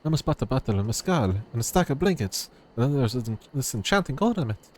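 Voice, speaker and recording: A man's voice: mid-range voice, very animated, fast talker, voice pushed down. A man voicing an eager, proud young adventurer full of bravado as he reads video game dialogue. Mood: confident bravado.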